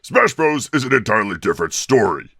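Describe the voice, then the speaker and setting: A man voicing a big, hot-tempered, boastful monster king with a gravelly voice in a video game cartoon fan dub. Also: mid-range voice, sing-song, quick pace, pushed and bright, full character voice. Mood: grudging. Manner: blustering, boastful.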